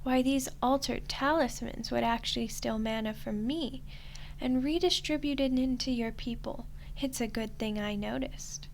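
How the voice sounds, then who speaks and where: A woman playing a smug, teasing archdemon in a roleplay ASMR style, soft and condescending, with an unhurried delivery. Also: fairly high pitch, vocal fry, a little hiss or hum. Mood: coolly accusing. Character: smug, teasing female archdemon toying with a human ruler.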